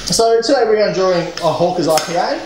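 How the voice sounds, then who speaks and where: Young man, jokey and animated, very lively pitch, cooking banter in a booming echoey home kitchen with steady background noise. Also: fast. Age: young adult. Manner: jokey, animated, swears freely. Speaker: cooking show co-host. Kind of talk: casual cooking demo banter. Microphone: camera mic at mid distance.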